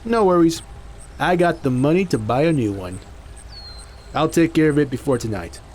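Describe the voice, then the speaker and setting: An easygoing martial arts master played by a man speaks with animated intonation and a slightly raised pitch. He sounds casual and assured. There is light noise behind this audio drama dialogue. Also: moderate pace, natural voice. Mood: relaxed.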